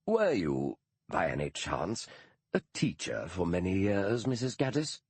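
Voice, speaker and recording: A man voicing a courteous English gentleman detective, speaking slowly with polite, formal diction. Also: deep voice, sing-song, breathy edge, no room sound, heavily acted.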